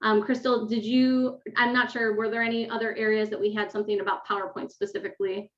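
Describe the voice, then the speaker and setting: A woman with a loud voice presenting a webinar on course design, explaining step by step with fillers, on a desk microphone in a home office. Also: on the high side, little pitch movement, fast, reverberant. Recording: dull lo-fi webinar audio.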